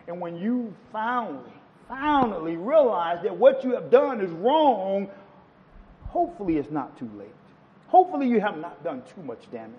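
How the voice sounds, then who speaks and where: A man's voice: sits high, big pitch swings, moderate pace, in a large hall, lo-fi sound. A man preaching a sermon to a congregation, quoting scripture with rising and falling emphasis. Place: church.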